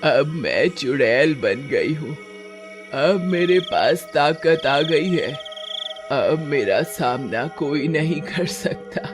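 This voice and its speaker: An old mother-in-law turned witch ghost, acted out by a man, wronged and out for revenge. The words come slowly and drawn out, with menace, over clearly heard music in a horror story. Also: on the high side, animated, voice pushed down. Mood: gloating, menacing.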